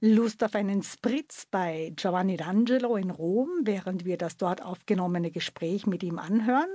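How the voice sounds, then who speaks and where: A woman presenting a radio feature, telling a story in a measured, deliberate way. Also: medium pitch, dark tone, no echo. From Carinthia, Austria. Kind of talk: scripted radio narration.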